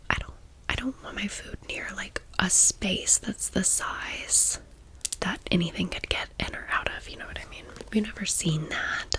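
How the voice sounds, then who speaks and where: An American woman in her thirties whispering an ASMR storytime in a breathy, casual, confiding, rambling way. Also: low voice, low-quality recording. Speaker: ASMR video host.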